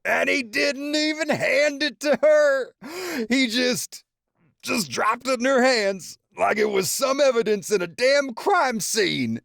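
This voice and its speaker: A man voicing a boastful father loudly mocking his son in front of the family. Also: high-pitched, sing-song, unhurried, dry recording, clean studio sound, voice pushed up, exaggerated voice. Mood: gleeful mocking. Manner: loud, mocking, laughing storyteller. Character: boastful teasing middle-aged father, loud.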